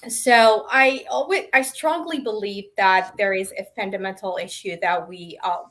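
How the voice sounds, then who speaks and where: A woman's voice: mid-range voice, moderate pace, a bit bright. Young woman, earnest. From Morocco. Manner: earnest, restarts often. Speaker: panel guest. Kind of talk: personal career story on a panel.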